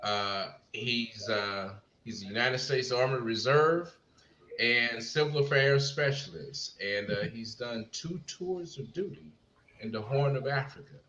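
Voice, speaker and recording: Guy hosting a video panel, bright voice, lots of uhs, roomy muffled sound w/ faint hiss. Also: medium pitch, unhurried. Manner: hesitant, many fillers, reading a guest bio. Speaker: co-host introducing guests. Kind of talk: online panel discussion.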